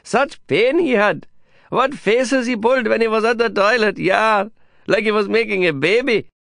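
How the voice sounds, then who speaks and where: A man voicing a gossiping middle-aged woman neighbor, chattering in a lively, graphic way. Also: high voice, normal speed, creaky, dry recording, studio quality, pitched above the performer's own voice. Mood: gossipy.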